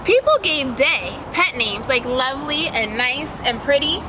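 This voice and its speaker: Woman voicing a gentle, high-voiced talking star. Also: sing-song, a little slow, background noise. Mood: storytelling. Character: wise, gentle talking shooting star telling legends.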